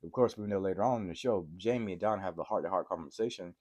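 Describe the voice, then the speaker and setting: Low-voiced man recapping TV, sore throat. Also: fast talker. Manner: excitable, keeps asking "right?" to viewers. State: congested from a cold, sore throat. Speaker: TV episode reviewer. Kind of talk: casual TV recap vlog.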